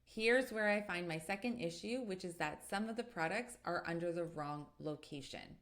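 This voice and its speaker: A woman narrating a step-by-step software tutorial in a methodical, explanatory way as an instructor. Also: fairly low pitch, animated, normal speed.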